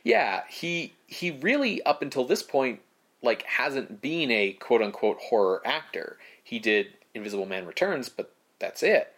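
A man co-hosting a casual film history podcast, reading prepared facts in a relaxed way. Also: mid-range voice, a little slow. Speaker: podcast co-host.

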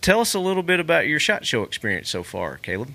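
A man's voice: fairly high pitch, sing-song, fast, creaky, pushed and bright. A man hosting a podcast at a trade show, upbeat and enthusiastic as he interviews a guest. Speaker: podcast host. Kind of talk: casual interview.

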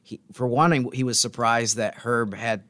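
Male sports podcast host, conversational, mid-pitched, close dry sound, thinks out loud. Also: fairly even intonation, moderate pace, no room sound. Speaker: basketball podcast host and locker-room reporter. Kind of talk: casual sports podcast chat.

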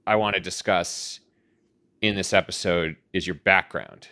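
A man's voice: low-pitched, steady tone, a little slow, pushed and bright, faint music behind. A man hosting a political podcast, reading a scripted intro with dry, wry asides, then interviewing a guest. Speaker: podcast host.

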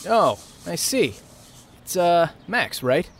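A man's voice: high-pitched, very animated, slow pace, a bit bright, a little hiss or hum, full character voice. A man playing a smug, impatient heir of a small-town family in a naturalistic audio drama. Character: entitled, irritable male heir to small-town family fortune.

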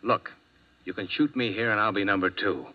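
A low-voiced, unflappable insurance investigator, played by an actor, talking in firm clipped lines. The sound is muffled and lo-fi, like an old radio broadcast. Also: male voice, fairly even intonation, moderate pace, close to an everyday voice. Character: tough steady male insurance investigator. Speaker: narrator and lead detective. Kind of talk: 1950s radio drama acting.

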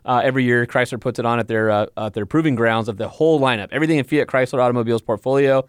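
A man with a smooth, clear voice co-hosts a casual podcast, teasing his partner in an upbeat way. The studio sound is dry and close. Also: mid-range voice, rapid-fire, no echo. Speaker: podcast co-host, auto journalist. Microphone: headphones and studio mic.

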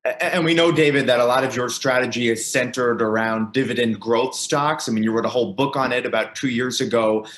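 A man's voice: medium pitch, a little fast, hardly any pauses, fairly bright tone. A man moderating a conference call, relaying questions in a conversational way, recorded with a roomy echo.

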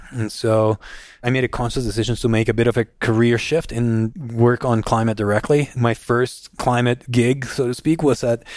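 Man from Guatemala, middle-aged, even monotone delivery, dry close sound. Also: medium pitch, dry recording. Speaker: guest, nonprofit executive director. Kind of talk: conversational interview answer.

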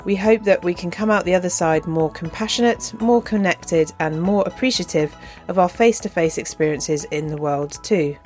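A woman hosting a podcast, reading a measured, prepared introduction. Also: on the low side, darkish tone, soft background music. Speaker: podcast host.

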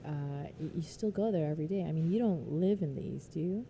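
Woman interviewer, chatty and curious, low and very dark voice, faint noise underneath. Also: brisk. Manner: chatty and curious, laughs often. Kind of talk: casual interview.

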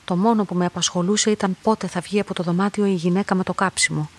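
An actress playing a lonely, watchful young female lab clerk who narrates a radio drama, soft and husky. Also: on the low side, fairly even intonation, lightly acted. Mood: watchful, introspective. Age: young adult.

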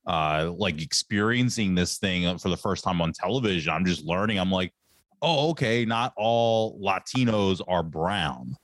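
A man's voice: low-pitched, expressive, measured pace, no room sound, clean studio sound. A man hosting a podcast, chatting casually and asking his guest questions. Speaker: podcast host. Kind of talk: casual conversation.

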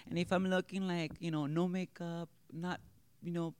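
A woman's voice: fairly low pitch, little pitch movement, normal speed, a little mellow, smooth, dry recording. Blunt woman. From Mexico. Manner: candid, blunt, chatty. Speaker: podcast guest, adult performer. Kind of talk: casual interview chat. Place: hotel venue at a convention in Las Vegas.

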